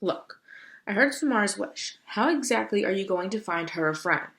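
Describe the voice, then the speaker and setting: A woman's voice: medium pitch, lively intonation, moderate pace, a bit bright. A woman voicing a sassy, skeptical female crow in a read-aloud story, blunt and teasing in a casual way. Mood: exasperated.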